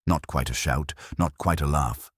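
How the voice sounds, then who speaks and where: Very deep-voiced man narrating a mystery. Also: measured pace, husky, no room sound, clean studio sound. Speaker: audiobook narrator. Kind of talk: scripted detective story narration.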